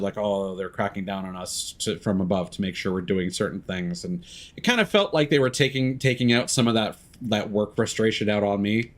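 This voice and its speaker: A man chatting casually as a game streamer, candid and sweary, thinking aloud as he goes. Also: on the low side. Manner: sweary, candid, self-deprecating. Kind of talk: casual live-stream chat and gameplay commentary.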